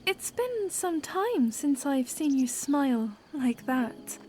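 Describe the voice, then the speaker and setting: Audio drama princess, woman actor: shy, earnest, halting formal speech, very high pitch, slow delivery. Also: very animated, a little mellow, a little hiss or hum, full character voice. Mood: tender, unsure. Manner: hesitant, halting, polite formal phrasing. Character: earnest, shy young princess, playful and polite. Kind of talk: fan audio drama acting.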